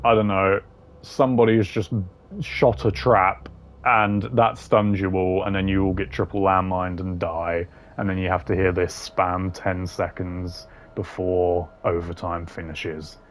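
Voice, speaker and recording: Male gaming commentator: lowish voice, casual, blunt, slangy, opinionated. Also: flat delivery, unhurried, a little hiss or hum. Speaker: gaming video creator. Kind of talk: casual opinionated commentary ranking game voice lines.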